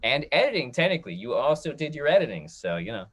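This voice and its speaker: A man's voice: mid-range voice, very animated, quick pace, fairly bright tone, breathy edge. A man hosting a casual post-show discussion, speaking in an appreciative, encouraging way. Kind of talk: casual moderated panel talk. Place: online video discussion.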